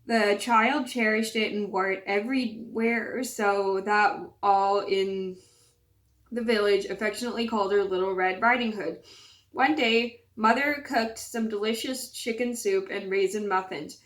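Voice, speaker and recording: Woman, scratchy voice, channel host reading a fairytale; chatty, plain delivery, average pitch. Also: steady tone, unhurried. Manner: chatty, apologetic asides. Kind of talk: storybook read-aloud.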